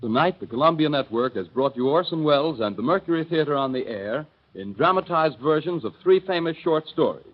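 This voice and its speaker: A man's voice: on the high side, lo-fi sound. Formal 1930s male radio announcer. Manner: crisp, formal presentation. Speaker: network announcer.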